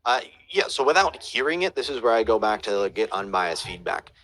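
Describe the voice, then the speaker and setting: A man's voice: on the low side, big pitch swings, a little fast, fairly bright tone, rough recording. A man teaching audiobook production, reading out viewer questions and answering them in a casual, hesitant way with many fillers and restarts.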